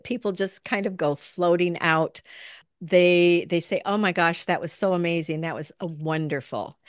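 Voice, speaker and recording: Woman, podcast host, lowish voice, chatty, lots of fillers, dry close sound. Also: no room sound. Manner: chatty, searching for words with many fillers. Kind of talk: casual podcast interview.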